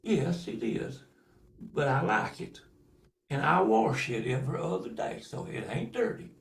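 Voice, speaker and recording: A man's voice: a little high, big pitch swings, a little slow, creaky, far from the mic, full character voice. A man voicing a poor, stubborn little mountain girl in a folk tale. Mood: pleading. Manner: pleading, stubborn. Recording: roomy echo.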